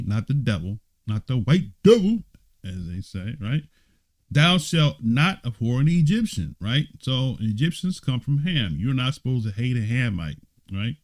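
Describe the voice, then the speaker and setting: A man's voice: mid-range voice, darkish tone. A man serving as an elder, teaching an informal Bible study. He reads scripture aloud, explains it in a conversational way, and keeps checking in with "right?" and "okay?". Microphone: streamed online talk.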